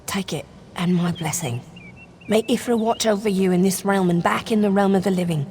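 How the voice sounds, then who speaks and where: A woman's voice: mid-range voice, moderate pace, a little mellow, slight background noise, lightly acted. A woman playing the grateful, solemn ghost of a long-dead villager in a video game. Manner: earnest, solemn. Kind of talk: video game character dialogue. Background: music.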